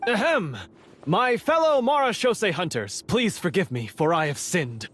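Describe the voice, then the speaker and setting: A man's voice: sits high, big pitch swings, measured pace, fairly bright tone, slight background noise, heavily acted. A male actor voicing an earnest, guilt-ridden young swordsman in a video game, with a hesitant, dramatic delivery. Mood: theatrical, earnest. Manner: hesitant, dramatic, self-doubting. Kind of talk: English game voice acting. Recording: music playing behind the voice.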